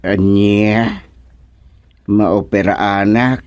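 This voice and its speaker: Low and unhurried, this is an ailing, stubborn older uncle in an Ilocano radio comedy. He whines and digs in his heels, recorded dry and close. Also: steady tone, a little mellow, dry recording, faint music behind. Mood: alarmed, stubborn. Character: stubborn ailing uncle, village chairman, afraid of surgery. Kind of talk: radio drama comedy acting.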